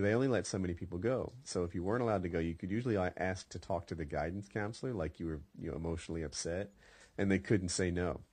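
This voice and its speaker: A man's voice: low voice, a little mellow, breathy edge, dry recording. A man hosting a podcast, chatting casually and telling rambling, conversational stories. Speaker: podcast host. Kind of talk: casual chat and storytelling.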